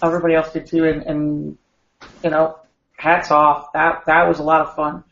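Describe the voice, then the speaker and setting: A man co-hosting a podcast, a bit hoarse after a long weekend of talking, rambling and restarting his sentences. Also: on the high side, monotone, moderate pace, fairly bright tone. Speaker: podcast co-host. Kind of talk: casual podcast chat. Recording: echoey room, dull lo-fi sound.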